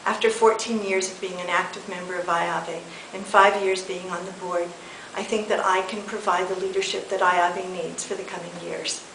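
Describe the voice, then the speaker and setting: A middle-aged American woman from California, giving a measured, earnest, slightly halting prepared video statement as an election candidate. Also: fairly low pitch, steady tone, gravelly, fairly bright tone. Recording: echoey room with faint hiss.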